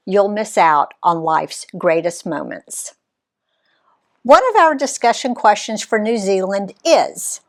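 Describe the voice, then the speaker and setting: A woman reading her own travel story aloud in an expressive read-aloud style, giving the quoted people voices of their own. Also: mid-range voice. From Alabama, USA. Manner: unhurried, lively reading that voices the quoted characters.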